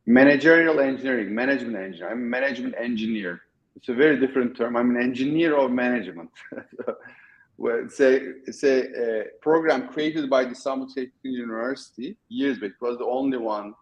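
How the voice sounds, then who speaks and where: A middle-aged man speaks English with a Turkish accent, stopping and restarting his sentences often as he answers an interviewer. His voice sits in an ordinary middle range. The sound is dull and lo-fi, like a remote call. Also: mid-range voice. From Turkey. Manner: halting, many restarts and fillers. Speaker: guest. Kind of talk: casual interview talk.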